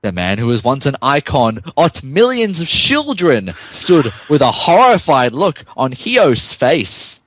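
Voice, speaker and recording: A man's voice: mid-range voice, huge pitch swings, unhurried, few pauses, fairly bright tone. A man giving a dramatic comic reading of fan fiction as a podcast narrator, amused and tripping over the text.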